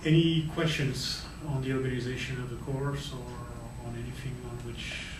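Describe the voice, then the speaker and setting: A man's voice: medium pitch, steady tone, moderate pace. A man lectures in a large hall, stumbling and repeating words as he goes. He sits far from the mic, so the room echoes around him. A heavy layer of background noise runs under his voice. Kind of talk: university lecture.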